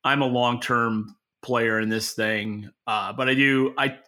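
A man's voice: mid-range voice, fairly even intonation, a little slow, sharp tone. A man co-hosting a podcast as an industry analyst, giving unscripted, opinionated explanations and often rephrasing mid-sentence. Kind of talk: unscripted expert market commentary.

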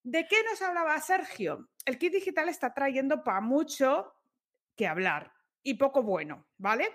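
A woman's voice: high voice, expressive, unhurried, a bit bright. A young woman from co-hosting a podcast, explaining work news with jokes and asides. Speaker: podcast co-host. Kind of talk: casual podcast chat.

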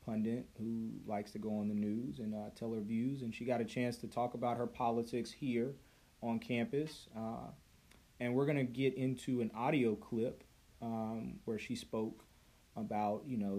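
A man hosting a podcast, first reading a prepared introduction and then moderating a student panel in a relaxed way, with many "uh"s and self-corrections. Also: mid-range voice, moderate pace, gravelly. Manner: relaxed, with frequent fillers and self-corrections when unscripted. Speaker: podcast host. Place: university campus.

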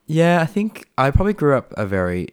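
A man's voice: a little high, big pitch swings, brisk, a little mellow, clean tone, no echo, studio quality. A young Australian man chatting casually as a podcast guest, rambling with lots of fillers and restarts. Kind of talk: casual chat.

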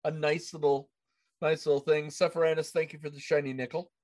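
A man's voice: fairly high pitch, flat delivery, a little fast, a little mellow. A man hosting a casual gaming podcast in a conversational style. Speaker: podcast host.

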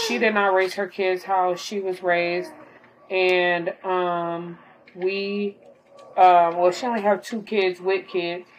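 A young woman with a slightly hoarse voice after an illness, rambling casually in a late-night monologue at home, full of fillers. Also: fairly low pitch, a little hiss or hum, rough recording. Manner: rambling, filler-heavy, talks while gaming. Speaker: podcaster.

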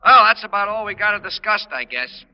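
A man's voice: high voice, expressive, a little fast, smooth. An American man acting as a folksy, plainspoken shop tradesman in an old radio comedy, easygoing and ungrammatical. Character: folksy, plainspoken male electrical shop repairman. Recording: muffled, reverberant old radio recording.

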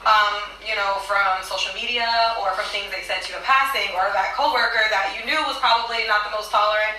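A woman's voice: mid-range voice, steady tone, brisk, sharp tone, a little hiss or hum. Earnest woman in an echoey room. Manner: earnest, emphatic, personal storytelling. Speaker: podcast co-host. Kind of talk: casual discussion. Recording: echoey room, distant mic.

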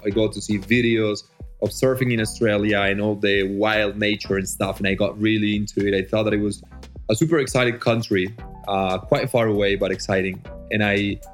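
Low-voiced Colombian man, easygoing. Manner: friendly, with fillers and restarts. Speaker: guest. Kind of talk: casual interview answers.

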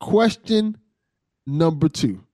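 A man's voice: fairly high pitch, sing-song, slow pace, a little mellow, no room sound, clean studio sound. An American man hosting a podcast in a casual, conversational style with a jokey, informal manner. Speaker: podcast host.

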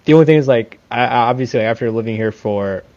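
A man's voice: medium pitch, fast talker, darkish tone, muffled recording. A man in his twenties from India, chatting casually on a podcast and rambling with lots of fillers and self-corrections. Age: 20s.

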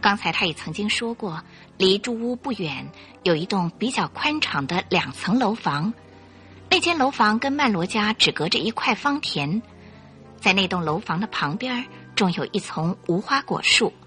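A woman narrating a Chinese radio detective drama in a measured, suspenseful storytelling style. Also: medium pitch, lively intonation, bright, forceful tone. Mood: suspenseful narration. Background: faint music.

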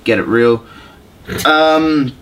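A man in easy podcast conversation, speaking at a medium pitch. He gives his opinions freely in informal, slangy language, as a co-host would. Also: very slow, a bit bright, smooth, rough recording. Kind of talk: casual opinionated chat.

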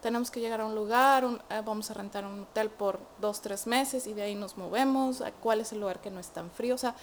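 A young Mexican woman with a Mexican Spanish accent, a guest in an interview, telling her story in an animated way with many fillers. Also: medium pitch, a little fast, slight background noise. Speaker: guest, immigrant to Canada. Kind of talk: casual interview chat.

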